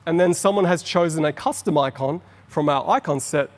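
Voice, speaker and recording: A middle-aged Australian man giving an enthusiastic, explanatory conference talk on stage. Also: fairly high pitch. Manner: explanatory, enthusiastic, quickens on asides. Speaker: conference presenter. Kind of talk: keynote presentation. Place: large conference hall on stage. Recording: long hall reverb.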